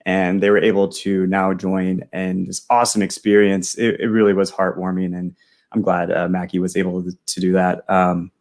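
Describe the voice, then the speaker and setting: A man's voice: low voice, completely flat delivery, moderate pace, darkish tone. An American man in his thirties presenting products in a casual style as a host.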